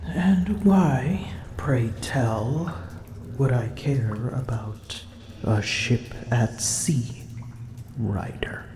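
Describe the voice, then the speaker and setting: A woman's voice: a bass voice, extremely slow, dark tone, slight background noise, full character voice. A woman voicing a haughty, drowsy and grumpy female dragon in an ASMR roleplay, speaking in formal old-fashioned phrasing and often whispering. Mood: drowsy, irritated. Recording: roomy echo.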